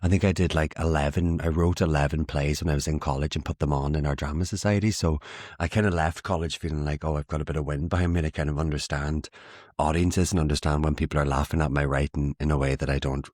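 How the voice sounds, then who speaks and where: A young Irish man in his twenties, chatting in an interview as a theatre maker, self-mocking and quick to laugh. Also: rumbling low, a little mellow, no echo, studio quality. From Ireland. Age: 20s. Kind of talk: casual interview chat.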